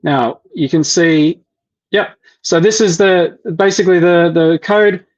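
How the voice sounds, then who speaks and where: A man walks viewers through a software demo in a live online session, stopping and restarting often with fillers. His voice sits a little high for a man. The audio is dull and lo-fi, like a call recording. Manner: halting, many fillers and restarts. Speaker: developer relations presenter.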